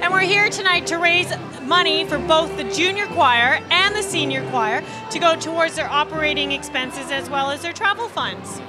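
Woman, event organizer, informative, high voice, handheld mic, band music behind. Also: normal speed, few pauses. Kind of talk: interview answers. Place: large community hall at a gala. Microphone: interviewer's handheld mic.

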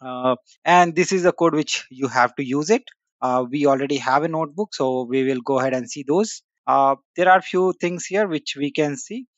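A man talks through a coding demo on screen, casual and full of "uh" and "you know." His voice sits a little high and dry. It comes through a dull, lo-fi desktop mic. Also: normal speed, no room sound. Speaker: tech tutorial host. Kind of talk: screen-share software walkthrough.

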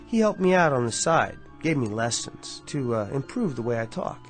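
An actor playing a smug, smooth-talking self-made man in a radio drama, confident and insistent. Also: medium pitch, sing-song, normal speed, close to an everyday voice. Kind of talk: vintage radio drama acting. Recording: old radio drama with faint music.

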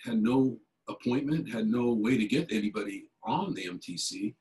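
A man's voice: medium pitch, expressive, moderate pace, a little mellow, poor audio. A middle-aged man speaking on a video call from a home office, answering questions in a rambling way with many fillers and restarts. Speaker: keynote speaker, elected official. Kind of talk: conversational video-call interview. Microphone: video call webcam.

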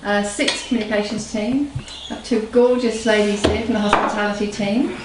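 A woman's voice: medium pitch, steady tone, normal speed, heavy background noise. A woman presenting a casual cooking segment, chatty and upbeat, asking questions. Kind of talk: casual chat. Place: home kitchen, indoors. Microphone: far camera mic. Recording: echoey kitchen from far off. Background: clattering dishes and cutlery.

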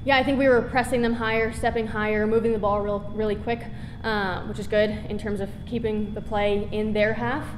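A woman's voice: medium pitch, flat delivery, brisk. A young woman soccer player answering post-match press questions in a casual, upbeat way with many fillers. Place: press conference room. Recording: reverberant room with background noise.

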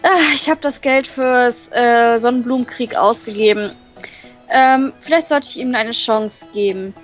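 A woman reading a visual novel aloud and translating it on the fly, halting and full of fillers. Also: fairly high pitch, soft background music. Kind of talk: casual let's-play reading.

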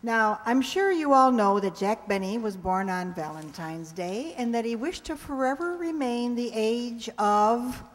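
A woman's voice: a little high, expressive, unhurried, hardly any pauses. An older woman introducing a show to an audience from a stage, chatty and asking questions. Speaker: presenter introducing a community radio-play show. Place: stage in a community hall. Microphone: microphone on a stand, close. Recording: echoing hall sound.

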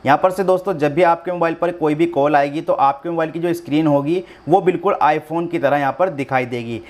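A man's voice: fairly high pitch, brisk. A man hosting a tech tutorial, explaining phone steps one by one and addressing viewers directly in an instructional way. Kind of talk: step-by-step phone tutorial narration.

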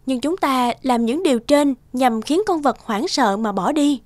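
A woman voicing a cheerful, articulate young teacher in an animated drama, speaking politely and explaining things. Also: high-pitched, a little slow. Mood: instructive.

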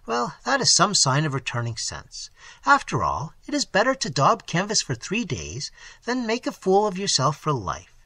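Reader doing a dry mocking sensible guy, husky, no room sound at all. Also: medium pitch, wildly swooping pitch, normal speed, sharp tone, no room sound, voice pushed up, full character voice. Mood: sardonic. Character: sensible, sardonic man, a practical friend.

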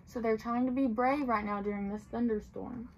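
High-pitched young woman reading to children. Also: steady tone, normal speed, very noisy. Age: young adult. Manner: sing-song storytelling with simple questions and explanations for small children. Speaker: playschool teacher reading aloud. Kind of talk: children's picture-book read-aloud. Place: small tiled indoor room.

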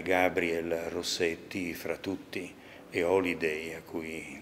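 An older man explains things in an interview, speaking in measured, halting short phrases. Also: low-pitched, fairly bright tone. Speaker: exhibition spokesman. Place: large museum sculpture gallery, indoors. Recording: reverberant gallery hall, audible background noise.

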